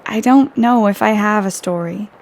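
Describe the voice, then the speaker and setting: A woman acting in an audio play as an outspoken young woman writer, earnest and expressive. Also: medium pitch, moderate pace, natural voice. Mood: wistful, lonely.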